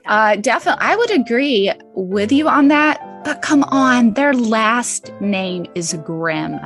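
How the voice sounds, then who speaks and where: A woman around her thirties or forties co-hosting a podcast in a casual, informal and expressive way. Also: on the high side, measured pace, faint music behind. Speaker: podcast co-host. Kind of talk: casual chat.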